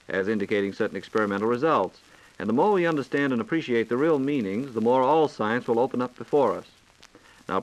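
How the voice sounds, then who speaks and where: A man's voice: mid-range voice, rough recording. A man hosting a scripted 1940s radio science program, speaking in a formal, carefully enunciated announcer's way on a vintage broadcast recording. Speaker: radio host posing listener questions.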